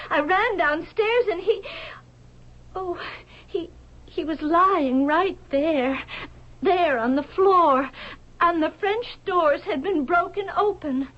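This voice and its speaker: An actress playing a put-upon showgirl wife in an old-time radio drama, with a halting, flustered way of talking. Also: extremely high pitch. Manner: halting, breathless repetition. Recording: dull lo-fi radio broadcast recording.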